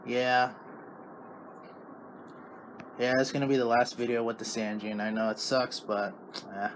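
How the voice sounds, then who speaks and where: A man's voice: mid-range voice, flat delivery, measured pace. Casual man hosting a hobby vlog, faint noise. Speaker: video host, radio hobbyist.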